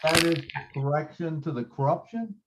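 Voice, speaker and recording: A man's voice: on the high side, moderate pace, over a noisy crowd, poor audio. A man hosting an online live stream, upbeat and encouraging.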